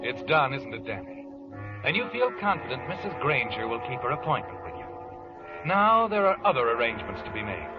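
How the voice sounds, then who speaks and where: An actor playing a sly, all-knowing narrator who needles the listener in a 1940s radio suspense drama. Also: male voice, medium pitch, sing-song, brisk, lightly acted. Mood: knowing, ominous. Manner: insinuating, with needling tag questions. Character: sardonic, all-knowing male narrator taunting the protagonist. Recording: muffled vintage radio recording. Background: dramatic background music.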